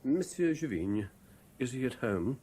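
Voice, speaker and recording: An actor playing a troubled, guilt-ridden investigator in a 1970s radio drama, with a brooding, hesitant manner. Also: male voice, medium pitch, very animated, measured pace, mellow, slight background noise. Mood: shocked. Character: troubled, haunted male French private investigator, guilt-ridden and weary. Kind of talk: 1970s radio drama acting, with narrated diary passages.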